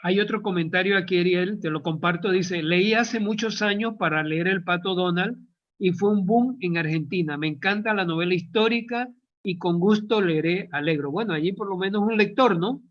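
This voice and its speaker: A man's voice: sits high, normal speed. A man interviewing a guest in a courteous, conversational way as a presenter, heard on lo-fi call audio. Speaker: interviewer, book fair presenter. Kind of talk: conversational interview, reading audience comments.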